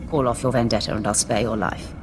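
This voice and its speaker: Cold, haughty Sith warrior. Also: female voice, a bass voice, steady tone, fast, background noise. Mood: threatening. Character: arrogant, ruthless female Sith warrior apprentice. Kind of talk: video game cutscene acting. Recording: game music behind the voice.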